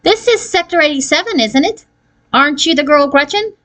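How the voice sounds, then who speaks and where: A woman's voice: high voice, expressive, fairly quick, a bit bright, pitched above the performer's own voice. A woman voicing an anxious, self-important fairy godmother who talks in pompous, formal officialese for a storybook. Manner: pompous, formal bureaucratic wording.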